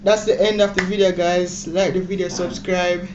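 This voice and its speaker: A man's voice: sits high, little pitch movement, moderate pace. A young man with a Jamaican accent hosting a casual prank vlog, talking in slangy, repetitive exclamations and pleas. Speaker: prank video host. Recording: muffled, noisy home-video audio.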